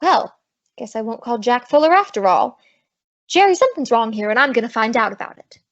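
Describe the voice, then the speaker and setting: A brash young heroine acted by a woman with a high voice. She is wry, bold and wisecracking, in the manner of old-time radio comedy. The audio is slightly dull and lo-fi. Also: big pitch swings. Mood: determined. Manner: wry, brisk, take-charge.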